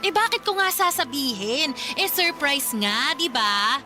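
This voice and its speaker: A woman's voice: high voice, animated, unhurried. A woman playing an insistent, hurt girlfriend who demands to come first, in a Filipino radio drama. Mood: hurt disbelief. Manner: emphatic, indignant, argumentative. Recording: music behind the voice.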